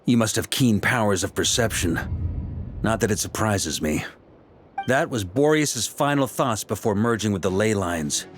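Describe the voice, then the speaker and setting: A man playing a confident, seasoned knight commander in a video game, explaining things in a genial, assured, measured way. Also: on the low side, close to an everyday voice. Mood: earnest. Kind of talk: video game dialogue acting.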